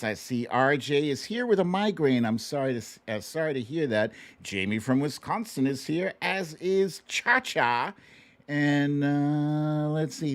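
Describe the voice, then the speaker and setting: Male livestream host, playful and sing-song, highly varied pitch, unhurried pace, informal chat. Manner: playful, exclamatory, sing-song with silly catchphrases. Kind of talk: casual livestream chat, greeting viewers.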